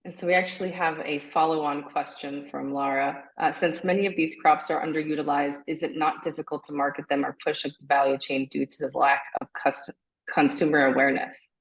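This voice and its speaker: A woman moderating a webinar Q&A on a video call, briskly introducing guests and reading out questions. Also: low voice, steady tone, low-quality recording. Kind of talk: hosting a webinar Q&A.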